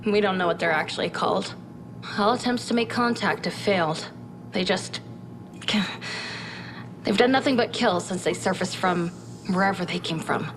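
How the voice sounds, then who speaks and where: A woman's voice: medium pitch, moderate pace, pauses often, background noise, natural voice. A woman playing an earnest, candid scientist who briefs a military commander in a video game cutscene, speaking in a thoughtful, conversational way. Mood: troubled. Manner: conversational, thoughtful, with reflective asides. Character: earnest female scientist advisor, candid, inquisitive and troubled.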